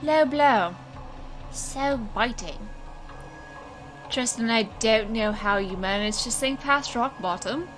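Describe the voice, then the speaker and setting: A woman's voice: on the high side, slow pace, pauses often, fairly bright tone, faint music behind. A woman playing a witty, defiant young stage magician, sarcastic and theatrical, narrating and acting in an audio drama. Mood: sarcastic defiance.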